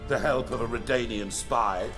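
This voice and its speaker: A man's voice: medium pitch, normal speed, lightly acted. An actor playing a bitter, blunt and world-weary man in a video game. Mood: accusing. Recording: game music behind voice.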